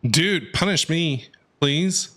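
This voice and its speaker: A man's voice: fairly high pitch, animated, takes it slowly, bright, forceful tone. A man giving live reaction commentary over a story video game, exclamatory and dramatic, with casual slang. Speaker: streamer.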